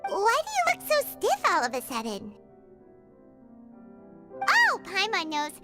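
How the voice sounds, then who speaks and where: A tiny fairy sidekick with a very high, squeaky child's voice. It swings up and down in lively, blunt, cheerful bursts, like an anime game mascot. Game music plays loudly behind it. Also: female voice, slow, exaggerated voice. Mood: blunt, awkward. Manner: refers to self in third person, exclamatory. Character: small chirpy floating fairy companion, childlike, blunt, cheerful. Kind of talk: anime-style game voice acting.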